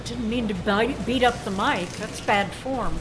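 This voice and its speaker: A woman chairing a club meeting with informal, unscripted remarks, often halting and restarting her sentences. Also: a little high, noisy background.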